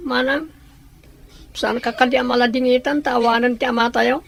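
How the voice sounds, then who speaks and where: Woman as a high-voiced, distressed Filipino younger sibling. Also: steady tone, normal speed, vocal fry, slight background noise. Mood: distressed, pleading. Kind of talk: melodramatic Filipino radio-style drama acting.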